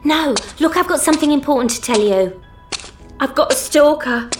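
A woman's voice: sits high, animated, normal speed, over music. A middle-aged woman playing a chatty, fussing working-class mum in an audio drama, gossipy and breezy. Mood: confiding, chatty. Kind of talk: audio drama monologue acting.

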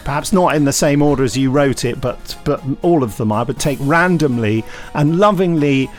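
A man's voice: fairly high pitch, moderate pace, faint music behind. A man co-hosting a podcast, reading reviews aloud with playful, theatrical jokes. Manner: playful, jokey, theatrical when reading quotes. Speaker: podcast co-host.

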